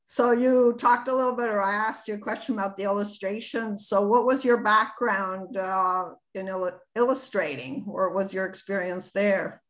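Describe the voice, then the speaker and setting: Female interviewer, medium pitch, conversational questioning. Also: steady tone, moderate pace, hardly any pauses, poor audio.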